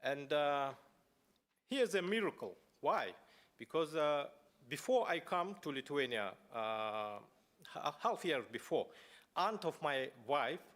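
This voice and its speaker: Halting man giving a conference speech. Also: fairly high pitch, lively intonation, a bit bright. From Buryatia, Russia. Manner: halting, many fillers and restarts, non-native phrasing. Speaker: conference speaker. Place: parliament conference hall.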